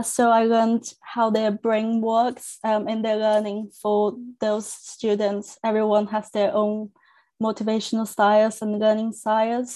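Earnest young woman from China, warm tone. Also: mid-range voice. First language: Chinese. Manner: thoughtful, earnest, with frequent restarts. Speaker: academic coach, guest. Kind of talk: podcast interview.

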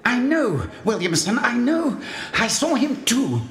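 A man's voice: very high voice, very animated, unhurried, fairly bright tone, pitched above the performer's own voice, exaggerated voice. A man voicing a flustered, panicky middle-aged male minister who stammers and sounds breathless. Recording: roomy echo.

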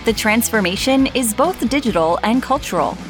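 A woman's voice: on the high side, expressive, normal speed. A woman reading a scripted show intro in a polished announcer manner. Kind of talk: scripted promo narration. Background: music.

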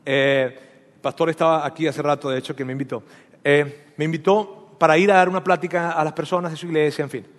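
Male preacher, light high voice, playful storyteller, reverberant hall. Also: steady tone, fairly quick. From Venezuela. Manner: storytelling with humor, asks the audience questions. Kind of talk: sermon.

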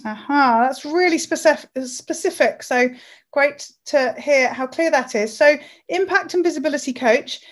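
High-voiced woman podcast host. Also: a little slow. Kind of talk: scripted intro and interview questions.

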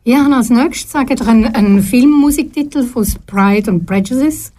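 An older woman with a Swiss German accent, a guest in a radio studio interview, who talks thoughtfully and pauses often to search for words. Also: a little high, warm tone. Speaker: guest, retired TV presenter.

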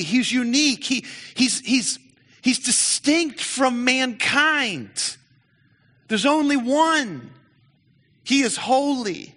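A man preaching a sermon, telling stories in an animated way, with frequent restarts and repeated words. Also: sits high. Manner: animated storytelling, many restarts. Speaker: pastor. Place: church sanctuary. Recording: church hall reverb.

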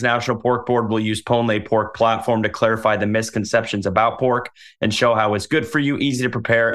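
A man's voice: lowish voice, fairly bright tone. A male podcast host who reads a scripted intro and then chats with his guest in an upbeat way. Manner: upbeat, enthusiastic.

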